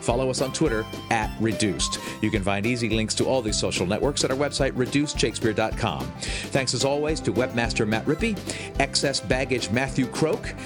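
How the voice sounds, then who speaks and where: A man hosting a podcast and reading out its closing announcements with dry humor. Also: medium pitch. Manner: brisk, wry, reading from a script. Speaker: podcast host. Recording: music bed under the voice.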